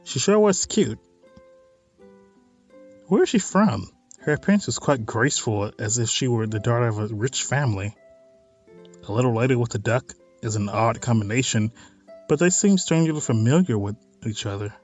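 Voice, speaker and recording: A man's voice: mid-range voice, expressive, normal speed, pauses often, darkish tone, faint music behind. A man hosting a let's play of a visual novel, reading text aloud and adding informal, wry asides. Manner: informal, wry side comments on what is read.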